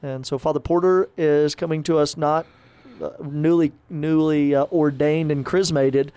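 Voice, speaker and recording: A man's voice: fairly high pitch, steady tone, moderate pace, warm tone, smooth, no echo. A man, a parish priest chatting casually on a podcast, rambling with lots of fillers and restarts.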